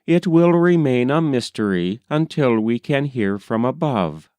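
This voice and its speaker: A man reading an old letter aloud as scripted narration, in a measured, deliberate manner with formal, old-fashioned phrasing. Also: mid-range voice, lively intonation, warm tone, dry recording. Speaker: reader.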